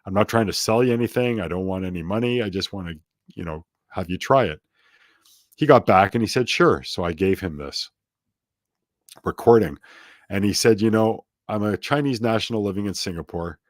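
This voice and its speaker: A middle-aged man with a very low voice talks as a guest in a casual interview. He drifts onto tangents and pokes fun at himself. His voice sounds close and dry, with no room in it. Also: normal speed, no echo. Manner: rambling, self-deprecating, prone to tangents.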